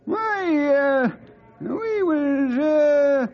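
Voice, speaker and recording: An actor playing a crusty, excitable old western sidekick in a radio drama, with folksy backwoods talk. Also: male voice, very high voice, soft background music, full character voice. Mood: flustered. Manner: folksy backwoods grammar and drawn-out delivery. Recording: muffled old radio recording, background hubbub.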